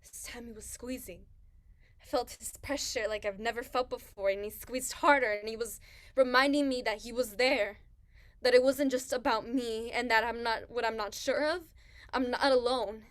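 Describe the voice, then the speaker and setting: A woman's voice: on the high side, steady tone, lightly acted. An actress playing a grieving young pregnant woman. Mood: hushed grief. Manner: halting, hushed, searching for words. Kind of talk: staged reading monologue.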